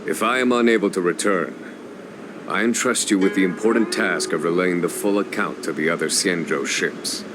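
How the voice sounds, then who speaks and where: A man playing a firm, low-voiced general. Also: noisy background, natural voice. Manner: firm, measured, authoritative. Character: commanding male general giving orders.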